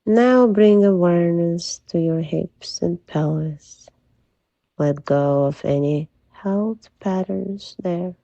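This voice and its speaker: A woman leading a guided sleep meditation in a soft, soothing, gentle voice that often drops to a breathy near-whisper. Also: fairly low pitch, slow pace, dry recording, lo-fi sound. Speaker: hypnotherapist guide.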